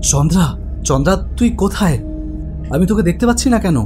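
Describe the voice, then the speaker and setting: A man's voice: high voice, lively intonation, quick pace, over music. An actor playing an anxious, haunted man who dramatically tells his own horror story. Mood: agitated, bewildered. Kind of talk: dramatic first-person horror narration.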